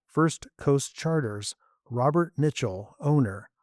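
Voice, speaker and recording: A man's voice: medium pitch, expressive, takes it slowly, darkish tone, no echo. Brief: a man as a courteous history-loving tourist, dry and close. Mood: polite. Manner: polite, courteous. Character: polite adult male history buff traveler.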